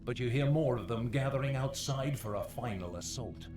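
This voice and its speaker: A man playing a grave fantasy dungeon master, narrating a game in a measured, dramatic way. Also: mid-range voice, natural voice. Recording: echoing voice under loud game music.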